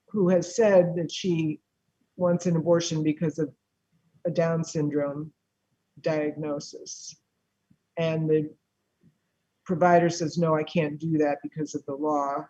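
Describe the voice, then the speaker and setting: A presiding judge asks curious, conversational questions of counsel, her voice husky and breathy as she loses it. The audio comes through dull and muffled, as over a remote call. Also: medium pitch, dark tone. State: losing voice.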